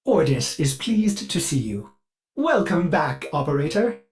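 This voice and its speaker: A man voicing an anxious, servile male ship computer in a video game, formal and halting, with synthetic processing. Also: on the high side, very animated. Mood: polite, helpful. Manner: formal and obsequious, with halting stammers. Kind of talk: scripted video game character acting.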